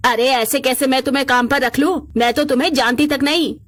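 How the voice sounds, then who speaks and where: A woman's voice: sits high, fairly even intonation, a little fast, close to an everyday voice. A woman voicing a haughty, suspicious rich lady of the house in a cartoon story, brusque and dismissive.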